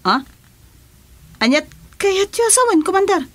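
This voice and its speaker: A woman's voice: very high-pitched, sing-song, slow, a bit bright, exaggerated voice. A woman with an Ilocano accent playing an eager, mischievous female rebel sidekick in a comedic radio drama. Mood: sly surprise.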